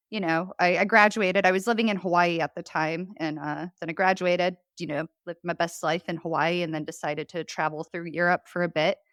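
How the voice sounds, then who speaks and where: A woman's voice: lowish voice, gravelly, fairly bright tone, no room sound, studio quality. A woman raised in Chicago, with a slight Chicago accent, talking casually as a podcast guest, chatty and laughing often. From born in Poland, raised in Chicago. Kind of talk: casual conversation.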